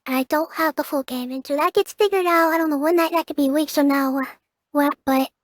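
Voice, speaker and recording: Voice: high-pitched, brisk, dry recording. A teenager hosting a gameplay video with casual, hesitant commentary that often repeats and rephrases.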